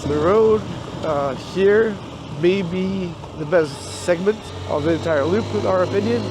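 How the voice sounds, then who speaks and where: Casual man rambling over road noise. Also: high-pitched. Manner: rambling, filler-heavy, thinking aloud. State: getting sick, losing his voice. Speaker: travel vlogger. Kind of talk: casual travel vlog. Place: outdoors on a motorbike road trip.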